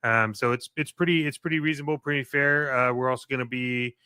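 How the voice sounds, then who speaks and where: A man's voice: medium pitch, steady tone, fast, pushed and bright, clear, resonant tone, dry recording. A man co-hosting a podcast in casual promotional chat, informal and loose, feeling his way through his phrasing. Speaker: podcast co-host.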